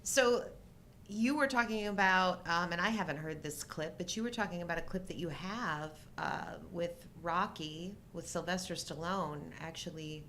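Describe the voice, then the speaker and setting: A middle-aged woman co-hosting a show in casual movie chat, talking informally in halting fragments. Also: a bit bright. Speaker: co-host of a live chat show. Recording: low rumble and background noise.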